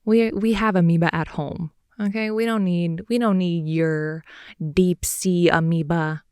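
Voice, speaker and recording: A young woman improvising an intimate ASMR girlfriend roleplay, rambling in a playful, slangy way and slipping between soft whispers and more animated talk, close to the mic. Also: lowish voice, a little slow, clear, resonant tone, dry recording. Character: wide-awake chatty girlfriend, silly and playful. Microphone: close microphone, dry sound.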